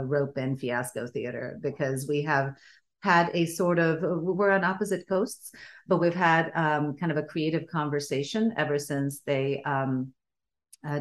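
Low-voiced woman playwright, thoughtful. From Sweden. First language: Bulgarian. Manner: thoughtful, many fillers and restarts. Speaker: playwright and adapter. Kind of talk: casual interview conversation.

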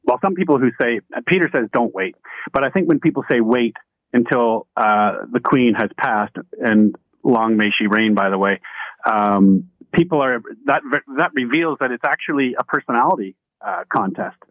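A man reasoning deliberately as a panelist on a radio talk show. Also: medium pitch, no room sound, narrow, tinny audio.

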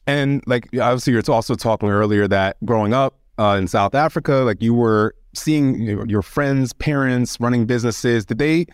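A man's voice: mid-range voice, normal speed, hardly any pauses, no echo, studio quality. A man hosting an interview, introducing his guest and asking long, friendly questions filled with "like" and "you know.". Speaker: interview host. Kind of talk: podcast interview.

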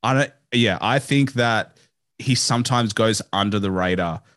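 A man's voice: lowish voice, normal speed, a bit bright, dry recording, studio quality. A man in his late twenties chatting casually on a podcast in an easygoing way. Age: late 20s.

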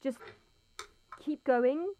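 A woman's voice: high-pitched, very slow, phone-quality sound, noisy background. An actress playing a patient, slightly hesitant woman who talks someone through a task step by step. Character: calm, hesitant woman giving instructions.

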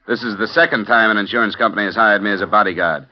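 A man's voice: lowish voice, rapid-fire, lightly acted. An actor playing a hardboiled male insurance investigator in a 1950 radio drama, dry and sardonic. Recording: dull 1950 radio transcription with music.

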